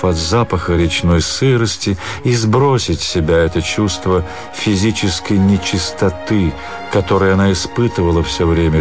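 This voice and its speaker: A man narrating a literary story for a radio drama in a measured, expressive storytelling manner. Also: low-pitched. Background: music.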